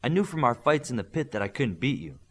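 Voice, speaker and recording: A man's voice: mid-range voice, big pitch swings, fast talker, breathy edge. A man voicing an emphatic, competitive superhero with giant powers in an audiobook. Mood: boastful.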